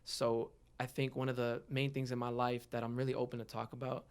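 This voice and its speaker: A man's voice: medium pitch, little pitch movement, a little fast, clean tone. Young guy early 20s, podcast guest, talking casual and open, kinda reflective. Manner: earnest, reflective, frequent "you know". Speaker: guest, rapper.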